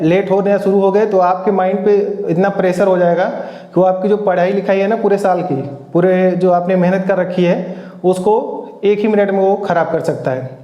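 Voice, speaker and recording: A man's voice: sits high, dark tone, echoey room, dull audio. A man giving informal, instructive advice as an exam coach, repeating his points for emphasis. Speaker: exam coach and channel host.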